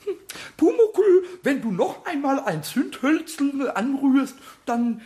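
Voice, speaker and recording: A man voicing a small, cheeky, childlike kobold in a children's radio play, squeaky and excitable, muttering to himself in rhymes. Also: slow, heavily acted. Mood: bored, rhyming.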